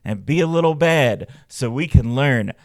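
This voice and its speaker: A man hosting a podcast, reading scripted narration and stories in a measured, dramatic way. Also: mid-range voice, animated, studio quality. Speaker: podcast host and storyteller. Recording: roomy echo, faint music behind. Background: faint background music.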